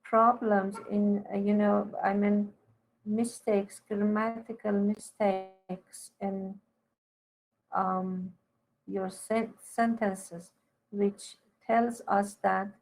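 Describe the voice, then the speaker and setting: Slow, creaky-voiced woman teacher correcting haltingly. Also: mid-range voice, darkish tone, poor audio. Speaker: university teacher giving feedback on a student's paper. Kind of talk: one-to-one spoken writing feedback.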